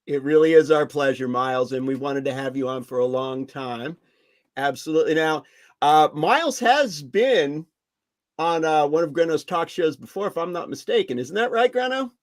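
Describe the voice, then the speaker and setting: A man's voice: fairly high pitch, animated, moderate pace. A middle-aged man co-hosting a talk show, upbeat and well-spoken. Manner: upbeat, eloquent. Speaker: co-host. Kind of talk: casual interview chat.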